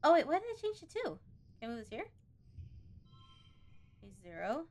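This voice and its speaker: High-voiced woman reading through a video game. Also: wildly swooping pitch, moderate pace. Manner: reacts aloud, thinks out loud while reading. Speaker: gaming video host. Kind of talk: casual let's play commentary, reading game text aloud.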